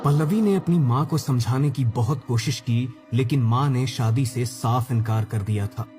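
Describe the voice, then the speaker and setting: A man's voice: medium pitch, normal speed, warm tone. A man delivering scripted narration for a dramatic horror story. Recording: music under the voice.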